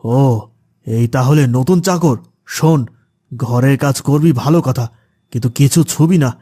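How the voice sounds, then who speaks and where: A man's voice: mid-range voice, a little slow, warm tone, natural voice. A man voicing an arrogant, scornful rich household master in a cartoon, haughty and bossy. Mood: haughty, suspicious. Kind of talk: cartoon voice acting.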